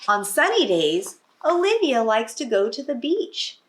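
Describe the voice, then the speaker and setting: Slow, very animated woman reading a storybook. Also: a little high. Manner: addresses young children with prompting questions. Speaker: kindergarten teacher reading aloud. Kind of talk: expressive storybook read-aloud with asides to children.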